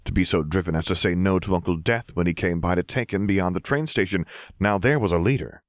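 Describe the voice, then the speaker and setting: A man reading a scripted story as narrator, in a measured, expressive way. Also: deep voice, no room sound, studio quality. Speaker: audiobook narrator.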